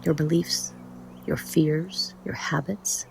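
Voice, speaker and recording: A meditation guide speaking in a soothing, measured way with frequent pauses and a soft, at times breathy voice. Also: mid-range voice, fairly even intonation, a little hiss or hum, rough recording.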